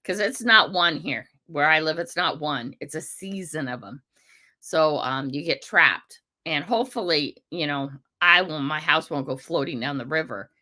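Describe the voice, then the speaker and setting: An older American woman chats loosely on a livestream, greeting viewers one by one and drifting between topics. Her voice is rough and stuffed up from a cold, yet it stays bright and forward, and it sits fairly low for a woman. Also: lively intonation, moderate pace. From United States. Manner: chatty, rambling, warm greetings to commenters. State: congested, rough voice. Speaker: livestream host.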